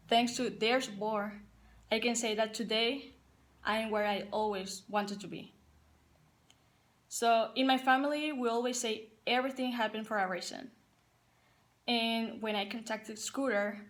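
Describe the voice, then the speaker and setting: A young woman from Paraguay, a Spanish speaker with a Paraguayan Spanish accent, a college soccer player giving an earnest, careful prepared personal speech. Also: a little high. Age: young adult. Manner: earnest, careful and measured, with some non-native phrasing.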